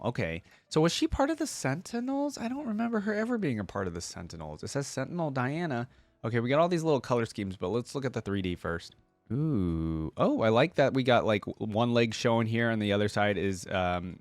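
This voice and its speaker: A man's voice: on the low side, dry recording. A man giving casual reaction commentary as a video host, thinking aloud in informal, slangy language.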